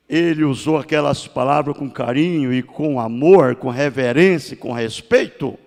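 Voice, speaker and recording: A man in his sixties with a Brazilian Portuguese accent, preaching a sermon as pastor, rising to loud cries and dropping to hushed tones. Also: on the high side, creaky. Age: 60s. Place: church sanctuary. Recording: long church hall reverb.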